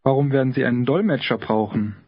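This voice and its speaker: A man speaking scripted model sentences for a language course with clear, careful enunciation. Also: medium pitch, fast talker, no room sound. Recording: dull lo-fi tape audio.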